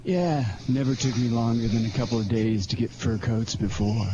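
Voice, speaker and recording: Man acting a brash fur thief, brusque slangy delivery, mid-pitched, old-time radio drama, noticeably noisy recording. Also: steady tone, normal speed, muffled recording, lightly acted. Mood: smug, scheming. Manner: brusque, slangy, self-assured. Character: cocky, streetwise male fur thief.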